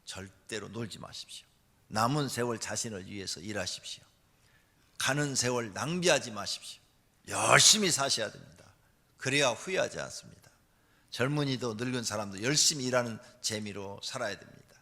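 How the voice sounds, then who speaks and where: A man preaching a church sermon as a pastor, emphatic, moving between hushed asides and loud, forceful exhortation. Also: medium pitch, lively intonation, normal speed. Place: large church hall during a service. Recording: long hall reverb.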